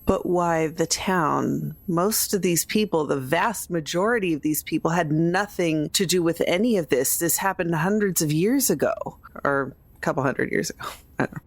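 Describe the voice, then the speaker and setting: Lady rpg player, lowish voice with lots of fry, talking in character, dry sound. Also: normal speed, dry recording. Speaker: tabletop game player. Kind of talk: improvised in-character conversation.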